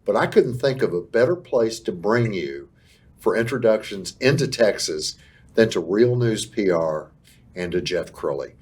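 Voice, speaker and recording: Deep-voiced, husky man. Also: expressive, darkish tone. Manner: promotional, deliberate, with pauses. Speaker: business group leader. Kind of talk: interview guest.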